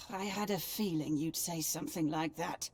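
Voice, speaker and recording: An actress playing a mocking female warlord villain in a video game, with a husky voice and a sardonic drawl. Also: low voice, unhurried, exaggerated voice. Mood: wry. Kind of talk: video game cutscene acting.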